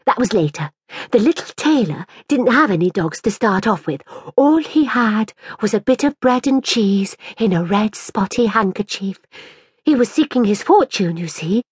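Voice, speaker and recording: A woman's voice: mid-range voice, expressive, moderate pace, darkish tone, breathy edge, dry recording, clean studio sound, pitched above the performer's own voice. A woman voicing a haughty, boastful young girl with a pompous, grand manner in a storybook reading. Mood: storytelling.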